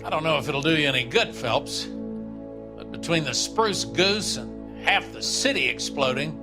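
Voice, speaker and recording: An actor playing a blunt, skeptical police captain in a dramatic video game, with wry, emphatic authority. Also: male voice, on the high side, expressive, moderate pace, natural voice. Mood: wry, approving. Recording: background music behind the voice.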